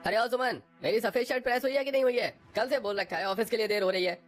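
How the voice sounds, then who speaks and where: A harsh, demanding husband. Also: female voice, lowish voice, very animated, brisk, a bit bright, faint music behind. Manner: contemptuous, demanding.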